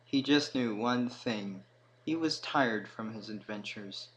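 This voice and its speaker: A man narrating a scripted fantasy story in a dramatic manner, with emphatic swells and hushed drops. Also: fairly low pitch, takes it slowly, rough recording. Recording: clear background noise.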